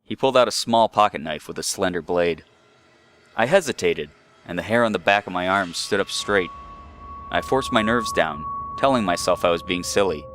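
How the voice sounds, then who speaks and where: A man's voice: sits low, lively intonation, moderate pace, a bit bright, a little hiss or hum. A man reading a scripted first-person horror story as narrator. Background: eerie background music.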